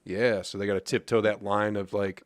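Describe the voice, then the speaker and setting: A man's voice: lowish voice, little pitch movement, moderate pace, no room sound, studio quality. A man in his thirties hosting a podcast, talking in a laid-back way and swearing freely. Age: 30s. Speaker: podcast host. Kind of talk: casual podcast chat.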